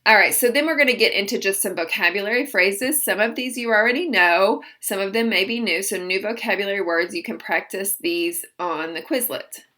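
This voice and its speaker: A woman teaching a language lesson, slowly modeling each phrase and then explaining it. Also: medium pitch, pushed and bright. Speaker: German teacher. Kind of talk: recorded vocabulary lesson.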